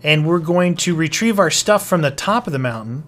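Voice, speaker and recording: A man's voice: a little high, animated, fairly bright tone. A young man chatting casually while playing a game, joking around and dropping silly puns. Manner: jokey, playful, breaks into silly voices and drawn-out puns. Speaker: gaming YouTuber. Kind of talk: casual let's-play chat.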